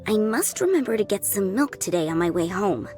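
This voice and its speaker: A woman's voice: mid-range voice, very animated, brisk, darkish tone, soft background music, close to an everyday voice. A woman playing an everyday, friendly townswoman in an anime-style fantasy game. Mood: casual, inviting.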